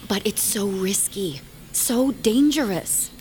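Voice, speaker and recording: An actress playing a nervous, secretive unfaithful wife in an audio horror drama. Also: female voice, mid-range voice, lively intonation, slow, mellow. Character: anxious unfaithful wife in a ghost-hunting team, secretive.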